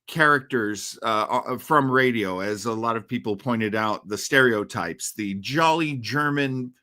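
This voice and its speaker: Halting man hosting a casual livestream. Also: medium pitch, pushed and bright. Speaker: livestream host of a classic radio horror show. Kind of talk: casual live commentary and chat with listeners.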